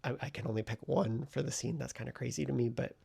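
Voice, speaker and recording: Male vlogger, heavy vocal fry and some rasp, informal and rambling, thinking aloud. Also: medium pitch, steady tone, fast, warm tone. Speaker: vlogger and hobby novelist. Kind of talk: casual writing vlog chat.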